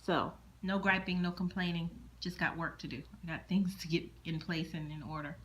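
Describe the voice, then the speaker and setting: A woman's voice: on the low side, steady tone, moderate pace, fairly bright tone, low-quality recording. A middle-aged woman chatting casually to her camera at home, rambling and repeating words as she thinks aloud. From born in Germany, military child. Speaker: vlogger. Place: indoors at home, windows open.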